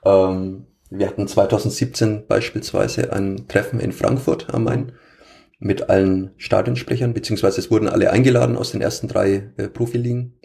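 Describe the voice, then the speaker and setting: A man's voice: lowish voice, fairly even intonation, moderate pace, vocal fry, dark tone. A man with a Franconian accent, a stadium announcer answering interview questions in a rambling way with many fillers. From Franconia, Germany.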